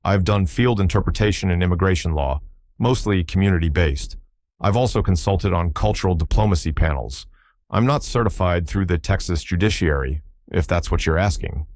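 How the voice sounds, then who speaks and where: A calm, measured woman interpreter in a spoken story, read by a man in his own deep voice. She answers questions evenly and keeps her composure. The sound is dry and close. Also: no room sound. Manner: even, measured replies. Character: composed female interpreter, adult.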